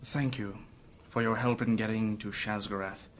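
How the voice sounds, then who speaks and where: A man's voice: on the low side, fairly even intonation, normal speed, slight background noise, close to an everyday voice. A man playing a wounded, earnest adventurer who tells his story courteously and formally in a fantasy audio drama. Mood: weary, resolute.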